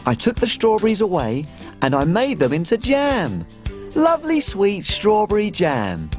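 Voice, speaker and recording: A man's voice: sits high, wildly swooping pitch, a little slow, a little mellow, faint music behind, heavily acted. A man playing a tiny, cheerful cook who tells a children's storybook tale in a bouncy, sing-song way. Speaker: children's TV presenter.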